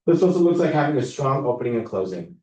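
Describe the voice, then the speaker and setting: A young man talks to a student club about public speaking, relaxed and confident, pitching his voice lower for authority. He is picked up from across a reverberant meeting room, and the sound is a bit dull. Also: quick pace. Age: young adult. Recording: roomy, distant mic, dull sound.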